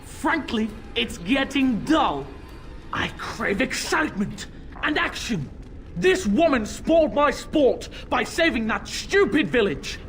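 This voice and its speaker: A woman's voice: fairly high pitch, very animated, takes it slowly. A cruel possessed boy. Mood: petulant, scornful. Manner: mocking, cruel, theatrical. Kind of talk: video game voice acting. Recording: game audio with music behind.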